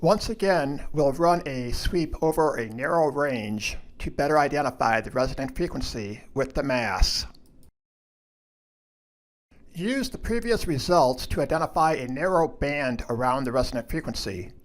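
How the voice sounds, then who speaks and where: A man reads scripted instructional narration in a measured, step-by-step way, like a lab instructor. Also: medium pitch, animated.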